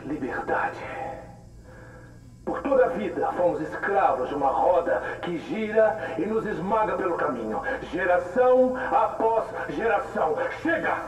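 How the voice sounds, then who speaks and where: A fanatical revolutionary orates in an audio drama. His voice is fairly high, echoing from a distance. He is solemn, deliberate and menacing, like a man addressing captives. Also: expressive. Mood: grave, defiant. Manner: grandiose, deliberate, oratorical, dropping to a whisper at times. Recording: distant, echoey, with loud music.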